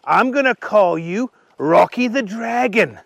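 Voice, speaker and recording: A man's voice: high voice, animated, measured pace, creaky, a bit bright, no room sound, studio quality, pitched above the performer's own voice, exaggerated voice. A man voicing an eager, kindly young adventurer, earnest and colloquial, in a children's story. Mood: encouraging.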